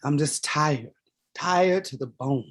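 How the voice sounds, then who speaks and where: A woman's voice: low voice, slow pace. Woman acting a weary, blunt mother. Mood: weary, irritated. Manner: blunt, commanding. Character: weary, proud mother, playwright at odds with her demons. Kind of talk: staged play reading.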